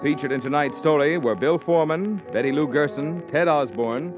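A man's voice: on the high side, moderate pace, over music. A man working as a radio announcer, with polished, emphatic 1940s commercial delivery. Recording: tinny old radio recording.

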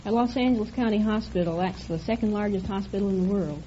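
Mellow young woman on vintage radio. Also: medium pitch, fast. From California. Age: 20s. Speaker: contestant, medical intern. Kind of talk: conversational interview answers. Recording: muffled, faintly noisy vintage radio broadcast.

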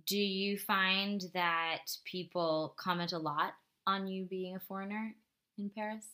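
A woman's voice: medium pitch, fairly even intonation, unhurried, sharp tone. A woman interviewing a guest, asking open questions and adding short, supportive remarks. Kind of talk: casual interview.

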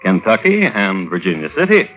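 An actor playing a dry, droll connoisseur of crime, hosting and narrating in ornate, wry, deliberate old radio style. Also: male voice, lowish voice, wildly swooping pitch. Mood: wry, measured. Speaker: host and narrator. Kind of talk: 1950s radio scripted narration. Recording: old narrowband radio recording with music.

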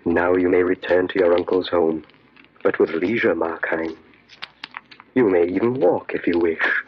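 A man playing a sinister inner voice that tempts and commands with slow, insistent urging, in 1940s radio horror style. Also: deep voice, steady tone, husky. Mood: urging, smug. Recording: muffled, crackly old radio recording.

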